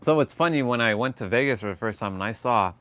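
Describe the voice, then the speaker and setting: A man's voice: medium pitch, expressive, fast, pushed and bright, dry recording. A man hosting a casual livestream, rambling with many restarts and fillers and laughing often. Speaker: livestream host.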